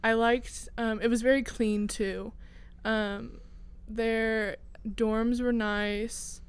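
A woman's voice: fairly high pitch, flat delivery, very slow, clear, resonant tone. A teenage girl from Indiana, a student co-host, chatting in a casual, storytelling way with many fillers. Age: teens. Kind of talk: casual chat.

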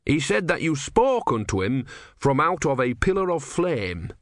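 A man's voice: fairly high pitch, very animated, measured pace, dry recording, studio quality, voice pushed up. A man voicing an earnest, devout young believer who is insistent and easily outraged, in a storybook reading. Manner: insistent, indignant, pious.

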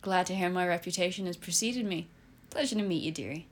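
A smug, menacing older man, a gangster type who purrs his words with patronizing calm, as a woman reads him aloud. The voice sits low and unhurried. It is a storybook reading. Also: lightly acted. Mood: smug menace. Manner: purring, patronizing. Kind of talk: reading own fiction aloud.

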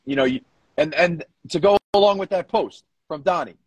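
A man with a slightly high voice, recorded fairly dry, chats as a co-host on a sports podcast. He talks haltingly, repeating phrases while he works out his point, and drops the odd swear word. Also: breathy edge, no echo. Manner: casual swearing. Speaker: competitive powerlifter. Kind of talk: casual sports podcast chat.

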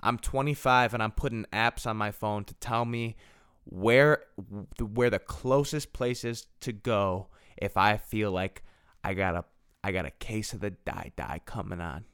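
A young man in his twenties talks through a casual solo podcast, circling back over his own phrases and laughing at himself. His voice sits low and comes across smooth and resonant. The sound is close and dry, with no room echo. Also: unhurried, fairly bright tone. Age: 20s. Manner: rambling, self-deprecating, repeats and restarts phrases. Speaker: podcast host.

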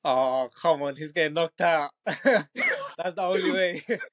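A man's voice: on the high side, a little slow, a bit bright. A man in his twenties, a fighter from Bangladesh, chatting casually as an interview guest and often asking "you know what I mean". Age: 20s. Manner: laid-back, repeats "do you know what I mean", laughs easily. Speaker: Muay Thai fighter, guest.

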